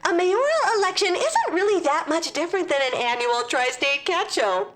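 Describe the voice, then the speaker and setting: A very high-pitched, self-important small-town mayor for a comedy audio drama. She rambles on pompously and promotes herself at every turn. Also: sing-song, fairly quick, background noise. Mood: defensive.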